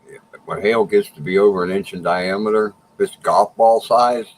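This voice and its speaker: Man with a very deep voice, matter-of-fact, explains calmly, faint hiss. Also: normal speed. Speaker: livestream co-host. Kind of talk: casual chat.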